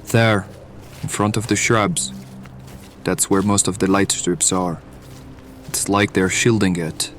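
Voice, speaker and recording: A man's voice: sits low, steady tone, takes it slowly, long pauses, soft background music. A man acting in an audio drama as a composed, protective leader of a band of survivors, giving directions steadily. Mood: firm, reassuring.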